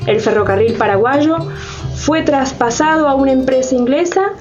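A woman narrates a scripted radio drama in a high voice. Guitar music plays behind her. The sound is a little dull. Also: normal speed. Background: plucked guitar music.

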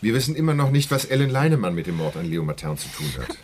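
A man's voice: fairly low pitch, sing-song, quick pace, noisy background. An actor playing a skeptical, probing man in a murder inquiry in a German crime radio play. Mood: insistent.

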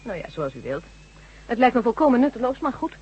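A woman's voice: on the high side, big pitch swings, heavy background noise. An actress playing a composed, precise female neurologist in a Dutch radio drama, formal and coolly sceptical. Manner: formal, measured, explanatory. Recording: muffled, humming old radio recording.

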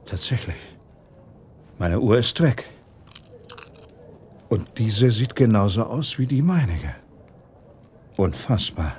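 An actor playing a proud, courteous prince, formal and easily provoked, with a husky voice in a German radio drama. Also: male voice, on the low side, lively intonation, takes it slowly, pauses often, darkish tone, slight background noise. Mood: puzzled, reflective. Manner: formal, dignified, indignant when crossed.

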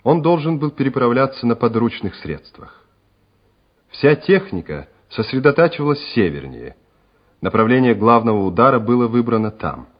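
A man narrating a war radio play in a dramatic, scripted style. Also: medium pitch. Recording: muffled, noisy old radio recording.

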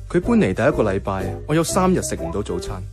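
An actor playing a mild, wry young man narrating his life as a reluctant flatmate in a Cantonese radio drama, with a Hong Kong accent and a casual, understated delivery. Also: on the low side, fast talker. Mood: wry, reflective. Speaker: narrator and lead character. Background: background music.

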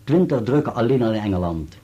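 Husky, formal solicitor, expressive intonation. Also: male voice, on the low side, fast talker, lo-fi sound. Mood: declamatory, precise. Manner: formal, articulate read-aloud delivery. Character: dutiful male solicitor reading an article aloud, precise and formal. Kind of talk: radio play acting.

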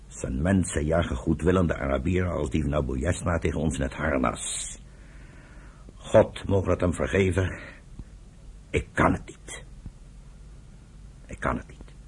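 An elderly patriarch of a radio play, with an extremely low voice that is heavily husky. He speaks gravely and deliberately, as a stern, embittered political leader. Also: a little mellow, exaggerated voice. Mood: bitter, unforgiving. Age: older. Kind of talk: radio drama acting.

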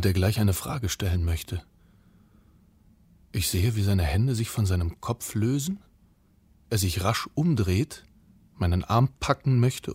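An actor playing a cynical, smug police officer in a radio play, speaking in a sardonic, deliberate, menacing way. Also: male voice, fairly low pitch, darkish tone, breathy edge, heavily acted. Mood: tense, narrating. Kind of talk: German radio play acting, monologue.

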